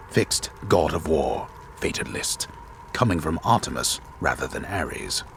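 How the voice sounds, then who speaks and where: A solemn, disembodied male storyteller from a video game, speaks in a very deep voice. The delivery is deliberate and wryly theatrical, with lively rises and falls that keep a deadpan gravity. There is faint noise behind the voice. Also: full character voice. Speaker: game narrator. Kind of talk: dramatic reading of a scripted text.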